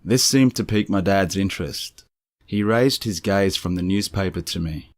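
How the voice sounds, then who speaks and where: A low-pitched male narrator tells eerie first-person accounts from a script. His delivery is measured and serious, and the recording is close and dry. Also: dry recording. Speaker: narrator of strange true stories.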